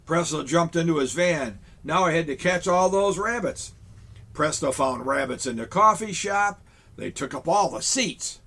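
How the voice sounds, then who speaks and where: A man reading a children's picture book aloud, with chuckling, animated asides to young listeners. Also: fairly high pitch, moderate pace. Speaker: storyteller in a Santa persona.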